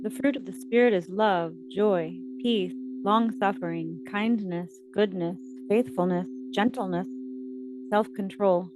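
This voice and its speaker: A woman narrating a scripted guided meditation with scripture readings, reciting in measured short phrases. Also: medium pitch, background noise.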